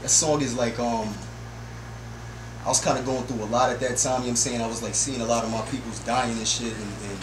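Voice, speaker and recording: A man's voice: mid-range voice, steady tone, fairly quick. A young man, a rapper, chatting casually as an interview guest in a slangy, rambling way full of repeated filler phrases. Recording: echoey room with background noise.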